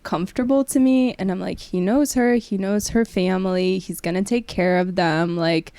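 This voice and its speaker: A woman's voice: medium pitch, steady tone, moderate pace, warm tone, dry recording. A young woman speaking as a podcast guest in a casual book discussion, in a reflective, tentative way.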